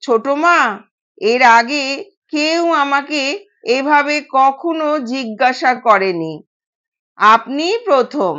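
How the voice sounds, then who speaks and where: A woman's voice: sits high, animated, slow pace, pauses often, a bit bright, dull audio, exaggerated voice. A woman voicing a timid, deferential older male household servant in an audio story, anxious and respectful.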